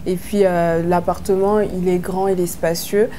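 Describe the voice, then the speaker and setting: A shy woman from Brazzaville talks to the camera in a reality-show confessional. She is soft-spoken, and her voice sounds dark and mellow. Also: on the low side, level tone, unhurried, slight background noise. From Republic of the Congo, Brazzaville.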